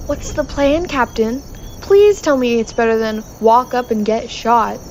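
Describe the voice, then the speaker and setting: A woman's voice: a little high, a little slow, darkish tone, slight background noise, low-quality recording. A woman playing a blunt, sarcastic spaceship engineer in a sci-fi comedy audio drama, with dry delivery. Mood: wry doubt.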